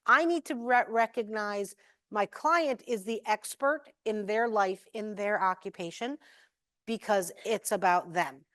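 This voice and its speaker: A woman's voice: mid-range voice, a little slow, a bit bright, dry recording, clean studio sound. A middle-aged American woman giving a conference talk in a conversational, emphatic way, telling anecdotes and acting out the dialogue. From New England, USA. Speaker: keynote speaker, occupational therapist.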